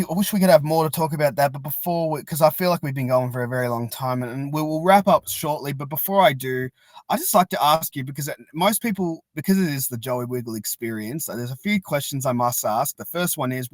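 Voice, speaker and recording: A man's voice: medium pitch, dry recording. An Australian man chatting casually and enthusiastically as a podcast host. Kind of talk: casual interview chat.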